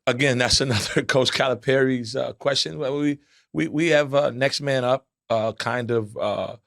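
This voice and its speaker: A man, an assistant basketball coach with a loud voice, answering press questions earnestly, often restarting and repeating words. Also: mid-range voice, no room sound, studio quality.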